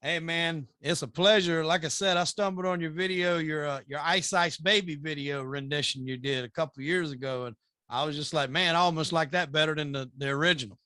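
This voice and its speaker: Bright, high-voiced upbeat male podcast host. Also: steady tone, a little fast, clean tone, dry recording. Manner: upbeat, announcer-style introductions. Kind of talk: podcast interview.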